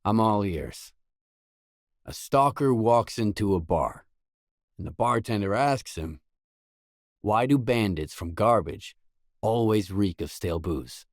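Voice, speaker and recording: An actor playing a weary, laconic stalker in a video game, a hardened loner with a terse, guarded, deadpan delivery. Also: male voice, lowish voice, slow pace, long pauses, dry recording, clean studio sound. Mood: dry joke-telling. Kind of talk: isolated game dialogue lines.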